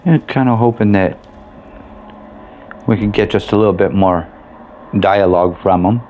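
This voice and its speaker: A man's voice: on the low side, moderate pace, muffled recording. A man giving casual, laid-back commentary as he plays a video game, half talking to himself. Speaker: gamer and video host. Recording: faint background noise.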